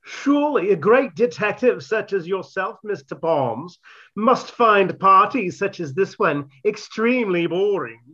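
Man playing a husky, courteous fashion designer. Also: high-pitched, expressive, a little slow, sharp tone, muffled recording, heavily acted. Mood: suavely polite. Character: polite, flattering male couturier party guest. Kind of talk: comic radio mystery acting.

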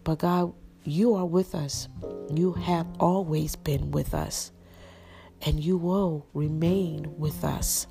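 A woman with a raspy voice, preaching an encouraging sermon and praying with an earnest, repetitive cadence. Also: deep voice, fairly even intonation, slow. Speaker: podcast minister. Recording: music playing behind the voice.

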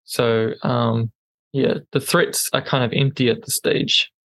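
Male co-host with a non-native English accent, mid-pitched, halting and filler-heavy delivery, dry close mic. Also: level tone, a bit bright, dry recording. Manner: hesitant, many ums and restarts. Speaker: podcast co-host. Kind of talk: casual news chat.

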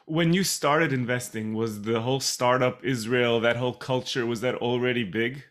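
A man from Brooklyn, a podcast host who asks his guest enthusiastic, halting questions. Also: medium pitch, fairly even intonation, a bit bright. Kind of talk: interviewing a guest.

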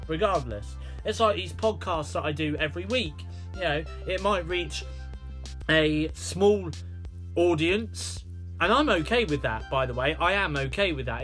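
A man's voice: fairly high pitch, fairly bright tone. A British man hosting a solo podcast, rambling with many fillers and restarts. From United Kingdom. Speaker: podcast host.

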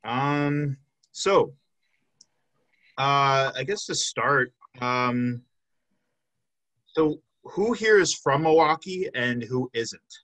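A man's voice: mid-range voice, drawn-out words, pauses often, a bit bright, dry recording, poor audio. A man in his twenties from the Chicago suburbs, hosting a casual interview with a band and rambling through lots of fillers. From south suburbs of Chicago. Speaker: podcast host.

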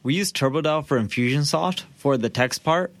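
A man's voice: a little high, normal speed, no room sound. A man co-hosting a podcast in a casual, instructional style, posing questions and making points emphatically. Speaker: podcast co-host.

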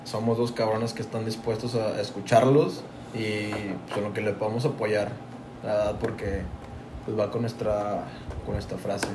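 A man's voice: on the low side, little pitch movement, normal speed. A young man from Tampico with a Mexican Spanish accent, co-hosting a podcast in casual, profane chat full of slang. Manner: slangy, profane, says "güey" constantly. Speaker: podcast co-host. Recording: echoey room with clear background noise.

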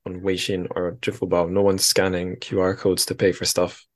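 A man's voice: low voice, monotone, moderate pace. A man hosting a podcast in a casual book discussion, chatty and wry, rambling as he goes. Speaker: podcast host.

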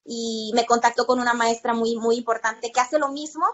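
A woman's voice: on the high side, fairly even intonation, normal speed, lo-fi sound. A Mexican woman talking conversationally as an interview guest, telling personal stories in a talkative, emphatic way and repeating words for stress. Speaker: interview guest, percussion teacher.

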